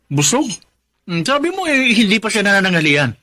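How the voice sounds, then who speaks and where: An actor with a Filipino accent playing a matter-of-fact husband in a radio drama. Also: male voice, sits high, big pitch swings, a little fast, bright, forceful tone, heavily acted. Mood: puzzled, knowing. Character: matter-of-fact husband questioning his wife.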